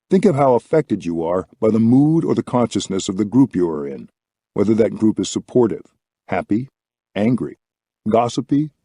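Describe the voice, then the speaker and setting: A man reading a reflective book as scripted narration, with measured, deliberate phrasing. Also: lowish voice, mellow, no echo, clean studio sound. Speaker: audiobook reader.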